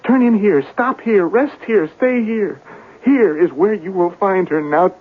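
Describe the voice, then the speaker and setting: A man's voice: high voice, a little slow, slight background noise. An actor playing a distraught, obsessed man searching for his lost sweetheart, fervent and repetitive, in a 1970s radio drama. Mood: fervent, desperate. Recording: muffled narrow-band old radio broadcast.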